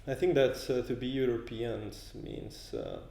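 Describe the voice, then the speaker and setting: A man's voice: mid-range voice, wildly swooping pitch, a little slow, vocal fry, dark tone, reverberant. A man from Italy answering interview questions searchingly, with fillers. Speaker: event participant. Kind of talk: casual on-camera interview.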